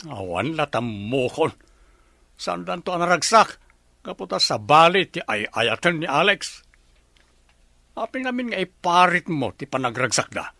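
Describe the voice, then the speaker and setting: A man's voice: a little high, big pitch swings, measured pace, creaky, sharp tone, no echo, heavily acted. A man playing an exasperated but reasonable middle-aged husband in a radio drama, reproachful, with a Filipino accent.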